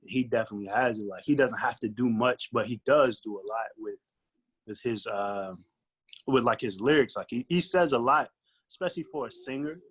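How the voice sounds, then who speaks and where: A man's voice: mid-range voice, normal speed, fairly bright tone, slightly rough. A man with an American accent, a guest giving a casual album review in slang, thinking aloud as he goes. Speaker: podcast guest, recording artist.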